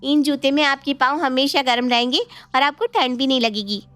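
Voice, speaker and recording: A woman voicing a competitive young niece who flatters sweetly and schemes, in an animated moral story. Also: high voice, brisk, no room sound. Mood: sweetly flattering. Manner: sugary, ingratiating.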